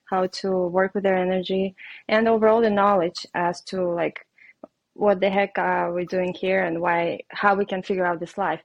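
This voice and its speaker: A woman's voice: fairly low pitch, no echo. A woman from Russia with a Russian accent, speaking English as a guest coach in an interview, hesitating often with ums. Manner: hesitant, many ums, laughs lightly. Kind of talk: casual interview answers.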